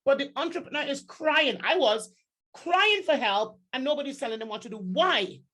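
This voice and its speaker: A woman podcast host with a slightly high voice. She talks casually but with emphasis and excitability, repeating words and restarting as she presses her point. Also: moderate pace. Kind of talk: casual interview chat.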